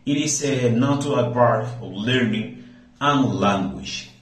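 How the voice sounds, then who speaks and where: A man's voice: medium pitch, slow, roomy sound. A man from the Dominican Republic with a Dominican accent, speaking hesitantly in a prepared speaking-practice monologue, often restarting and searching for words.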